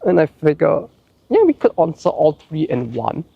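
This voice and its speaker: Young Singaporean-accented man, gravelly tone, rambling, loose vlog delivery full of "like". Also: fairly high pitch, huge pitch swings, moderate pace, no echo, dull audio. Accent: Singaporean English. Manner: rambling, heavy use of "like", frequent restarts. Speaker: channel host answering viewer questions. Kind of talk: casual chat.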